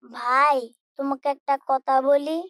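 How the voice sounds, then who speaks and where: A high, young schoolboy voice performed by a woman, polite and gentle, recorded close and dry. He speaks earnestly and respectfully, like a devout child in a moral cartoon. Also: little pitch movement, unhurried, dry recording. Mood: earnest, consoling. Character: pious, polite, gentle schoolboy son, Raihan. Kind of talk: scripted Islamic cartoon dialogue.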